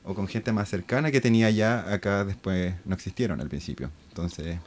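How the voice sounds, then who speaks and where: A man's voice: deep voice, darkish tone, slight background noise. A man from Chile with a Chilean Spanish accent, a guest in a casual conversation, speaking reflectively with frequent halts and restarts. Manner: reflective, halting, restarts words often.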